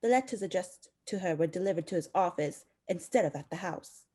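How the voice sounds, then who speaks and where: A woman's voice: lowish voice, animated, brisk, warm tone, slightly rough. A woman acting in a radio drama as a fragile, forlorn young bride, plaintive and emotional. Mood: bewildered.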